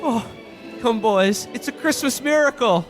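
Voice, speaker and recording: Man playing a high-pitched, overjoyed Christmas movie hero. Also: expressive, a little slow. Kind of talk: old Hollywood melodrama radio play. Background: loud music.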